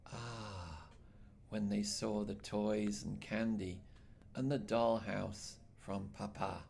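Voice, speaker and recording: A man reading a children's picture book aloud in an expressive, measured storytelling style with dramatic phrasing. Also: fairly low pitch, vocal fry, slight background noise. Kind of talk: expressive bedtime read-aloud narration.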